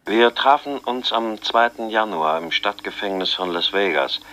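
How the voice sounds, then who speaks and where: Weary middle-aged detective; lowish, bright tone, brisk and factual. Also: male voice, animated.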